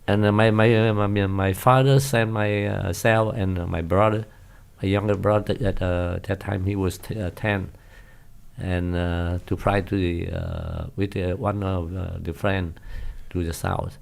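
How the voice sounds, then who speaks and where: An older man in his seventies speaks with a Vietnamese accent, his low voice carrying a gravelly rasp. He talks very slowly, halting and searching for words in a language that is not his first. It sounds like a relaxed interview memory. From northern Vietnam. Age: 70s. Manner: halting, many hesitations, non-native grammar. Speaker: guest, former pilot. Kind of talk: casual interview recollection.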